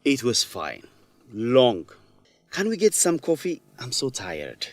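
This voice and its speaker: Young Ethiopian man, middle pitch, varied intonation, modest, reticent, radio drama student, dry sound. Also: huge pitch swings, takes it slowly, no room sound, heavily acted. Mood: tired, friendly. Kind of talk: educational radio drama acting.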